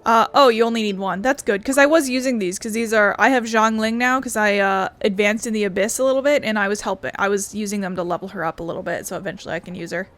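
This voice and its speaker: Sarcastic woman with a medium-pitched voice. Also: quick pace, few pauses, fairly bright tone, soft background music. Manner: sarcastic, sweary. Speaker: gaming video host. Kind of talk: casual gameplay commentary.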